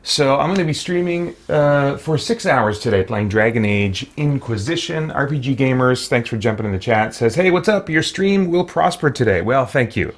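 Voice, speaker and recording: A man's voice: medium pitch, expressive, moderate pace, few pauses. A man giving casual gameplay commentary on a livestream, thinking aloud in a rambling, hesitant way and chatting with viewers.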